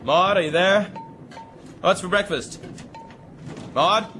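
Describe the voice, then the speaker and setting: An actor playing an earnest, steadfast gentleman sailor, tender and protective, speaking in gently formal phrases in a radio drama. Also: sits high, takes it slowly, music in the background. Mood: weary, determined. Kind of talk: broadcast radio drama acting.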